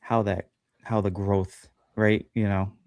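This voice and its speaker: A man's voice: fairly low pitch, little pitch movement, takes it slowly, darkish tone, no room sound. A man chatting as a guest on a livestream podcast, talking loosely with lots of fillers and self-deprecating asides. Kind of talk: casual chat on a livestream.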